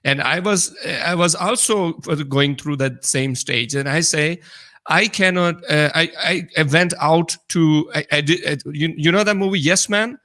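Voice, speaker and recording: A man with a Pakistani accent talking casually as a podcast co-host, explaining things earnestly in halting non-native English. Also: fairly high pitch, bright, forceful tone. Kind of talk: casual personal conversation.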